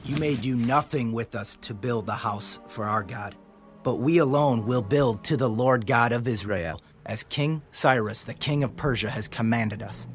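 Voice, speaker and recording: An actor playing a firm Israelite leader in a dramatised scripture audiobook, speaking in declarations. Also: male voice, mid-range voice, normal speed, faint music behind, lightly acted. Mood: firm refusal. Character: male Israelite leader, firmly refusing outsiders.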